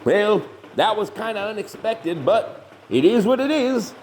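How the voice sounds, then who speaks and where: A shameless Southern hillbilly monster hunter, pitched high and swinging all over in pitch. He is glib, folksy and self-righteous, bossing his kin around in a comedy horror audio play, with faint noise behind him. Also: sing-song, a little slow, a little mellow. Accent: rural Southern US, hillbilly dialect. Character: bossy, shameless hillbilly monster hunter, scheming older brother.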